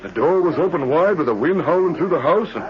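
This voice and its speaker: Pushy, animated frontier accuser played by a man. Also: a little high, fast talker, a crowd in the background, natural voice. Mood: alarmed. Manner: insistent, folksy rustic drawling grammar. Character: pushy, accusing frontier townsman, vengeful and shifty. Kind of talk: 1940s western radio drama acting. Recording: muffled old radio broadcast.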